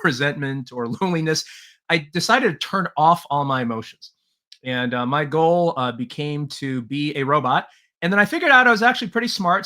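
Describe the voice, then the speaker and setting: A man's voice: medium pitch, pushed and bright. A middle-aged man from Taiwan, a podcast guest and leadership trainer, telling his life story in an earnest way, with many "uh" fillers and light laughs. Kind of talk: relaxed interview storytelling.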